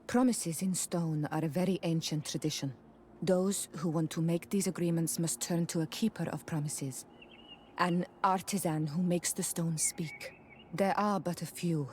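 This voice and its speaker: Woman doing a defiant young clan daughter in a video game, kinda low voice, serious, bit of noise behind. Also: steady tone, normal speed, darkish tone, close to an everyday voice. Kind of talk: video game cutscene acting.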